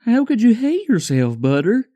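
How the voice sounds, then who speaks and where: A man voicing a gentle young male pony husband in a fan-made audio drama, soft, earnest and comforting. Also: high-pitched, wildly swooping pitch, moderate pace, voice pushed up. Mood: pleading, loving. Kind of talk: amateur fan-fiction audio drama acting.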